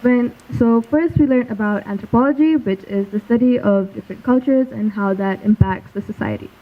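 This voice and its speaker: A young woman from Malaysia earnestly presents at a school showcase, reading steadily. Her voice is somewhat high. The audio is tinny and phone-like. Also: moderate pace. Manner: earnest, steady recitation from notes. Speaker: student presenter.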